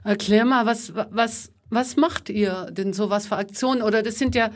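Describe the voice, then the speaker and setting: A woman hosting a podcast interview, asking her guest questions in a friendly, measured way. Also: medium pitch. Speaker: podcast host.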